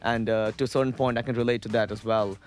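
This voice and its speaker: A man's voice: lowish voice, steady tone, quick pace. A young man with an Indian accent, a stand-up comedian chatting as a radio guest, rambling with lots of fillers and restarts. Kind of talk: casual radio chat. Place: radio studio.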